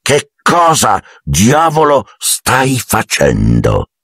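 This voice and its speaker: Guy doing nasty grumpy uncle in an audiobook, gritted teeth, gravelly, threatening, swoopy pitch. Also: lively intonation, normal speed, a bit bright, no room sound, clean studio sound, exaggerated voice. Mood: seething. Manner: growled through clenched teeth. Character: menacing, irritable middle-aged uncle, bullying and threatening.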